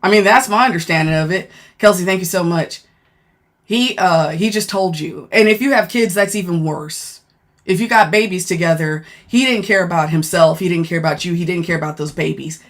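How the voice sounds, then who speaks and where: A woman with a deep voice chatting casually on a phone livestream, speaking bluntly and thanking viewers as she goes. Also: lively intonation, normal speed. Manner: blunt, frank, thanks viewers mid-sentence. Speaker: livestreamer and former law enforcement worker. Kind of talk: casual live-stream chat reading comments.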